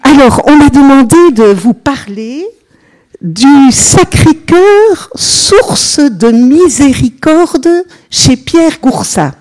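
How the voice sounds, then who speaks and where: Older Belgian nun teaching a group, slow and unhurried, chatty asides. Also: female voice, high voice, animated, a little mellow, low-quality recording. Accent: Belgian French. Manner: chatty, unhurried, peppered with "voilà", engages listeners. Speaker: consecrated religious sister giving a teaching. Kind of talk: informal religious teaching to a group.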